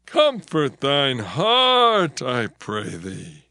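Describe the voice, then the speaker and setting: A man playing a hospitable, insistent father-in-law in a dramatized scripture reading, coaxing in drawn-out archaic English. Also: mid-range voice, huge pitch swings, bright, forceful tone, clear, resonant tone, dry recording, clean studio sound, heavily acted. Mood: pleading, insistent. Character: hospitable, insistent father-in-law urging his guest to stay.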